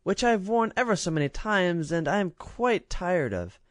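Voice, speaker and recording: A man's voice: high voice, lively intonation, moderate pace, dry recording, clean studio sound, pitched above the performer's own voice. A man voicing a spoiled, vain little princess who talks in a haughty, self-satisfied way.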